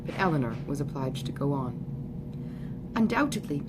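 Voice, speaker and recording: A woman's voice: fairly low pitch, expressive, moderate pace, background noise. A woman giving a scripted literary narration as an audiobook reader.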